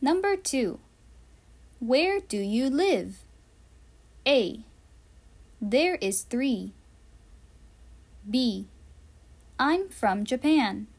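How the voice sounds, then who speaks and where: A woman teacher reads out a learners' quiz, pausing between each answer choice. Her delivery is very slow and deliberate, each word carefully enunciated. Her voice sits a little high. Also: big pitch swings, a little mellow. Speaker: English teacher.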